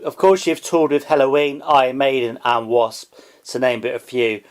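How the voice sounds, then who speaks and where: A man's voice: medium pitch, steady tone, normal speed, clear, resonant tone. A man interviewing a musician in a casual, conversational style.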